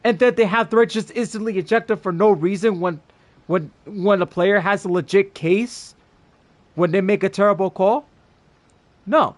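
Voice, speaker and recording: A man's voice: high-pitched, normal speed. A man streaming a game and giving a casual, blunt, sweary rant with emphatic opinions. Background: game music.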